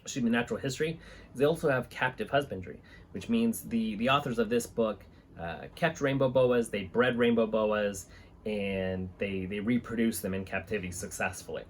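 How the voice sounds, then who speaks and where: A man's voice: lowish voice, normal speed. A man in his thirties hosting a hobby video, reading aloud and talking informally to camera. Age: 30s. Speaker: host of a reptile-keeping channel, reading books aloud. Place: small indoor room with reptile enclosures and a fan. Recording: clear background room noise.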